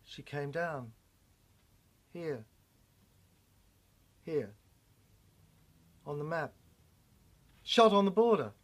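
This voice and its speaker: A woman's voice: sits low, sing-song, extremely slow, pauses often. A woman giving a dramatic reading of an experimental audio screenplay in short, broken, theatrical phrases.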